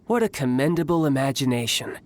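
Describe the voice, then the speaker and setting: A man's voice: medium pitch, expressive, fairly quick, a little hiss or hum, natural voice. A man voicing a suave, smug young fighter in a video game, with polished, haughty, formal speech. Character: suave young male fighter posing as a toy salesman, smug.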